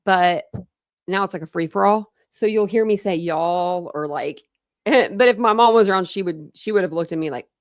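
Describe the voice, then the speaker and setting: A woman's voice: lowish voice, dry recording, studio quality. A woman hosting a casual true crime livestream in a rambling, chatty way, answering viewers. She has a mixed Midwest and Southern American accent. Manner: chatty, rambling, quick asides with laughs. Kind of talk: casual livestream chat reading comments.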